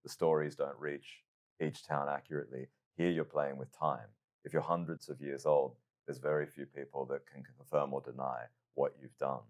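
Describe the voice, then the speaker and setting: Very deep-voiced man hosting, measured and theatrical. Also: little pitch movement, a little mellow. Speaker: host interviewer of a game reveal show.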